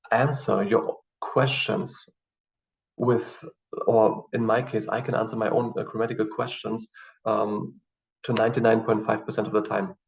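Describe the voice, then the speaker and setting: A man whose first language is German, teaching in a screen-share tutorial with halting explanations and many fillers. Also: mid-range voice, vocal fry. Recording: distant, dull lo-fi mic.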